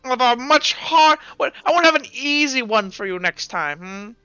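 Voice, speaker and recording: Man putting on a high-voiced, smug fat gatekeeper. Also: expressive, normal speed, bright, forceful tone, clear, resonant tone, no room sound, lo-fi sound, faint music behind, heavily acted. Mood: smug, grudging. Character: fat, grudging male gate guard with jiggling chins.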